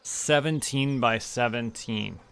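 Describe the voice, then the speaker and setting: A young man streaming a farming game, relaxed, muttering to himself and counting aloud. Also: mid-range voice, takes it slowly, creaky, clean tone. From Canada. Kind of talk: relaxed gameplay commentary.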